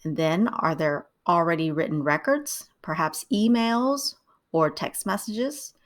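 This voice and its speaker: Lowish-voiced woman, middle-aged, calm steady writing lesson voiceover. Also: measured pace. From Vietnam, raised in the United States. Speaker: writing tutor. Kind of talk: scripted instructional narration.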